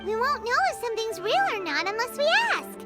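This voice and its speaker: A woman voicing a small, squeaky, childlike fairy companion in a video game, excitable, chirpy and a little cheeky. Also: brisk. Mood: cheerful, reassuring. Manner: excitable, exclamatory, speaks of self in third person. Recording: loud jingly music behind the voice.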